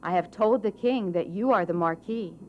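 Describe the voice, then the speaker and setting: A woman's voice: medium pitch, moderate pace, highs cut off, a little hiss or hum, lightly acted. A woman voicing a scheming, bossy male talking cat in a children's fairy tale, eager and urgent in manner. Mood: scheming, instructing. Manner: urgent, exclamatory.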